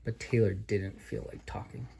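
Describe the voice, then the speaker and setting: A man reading a children's picture book aloud as a father to his child, expressively and with drawn-out emphasis. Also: on the low side, very animated, vocal fry, noisy background.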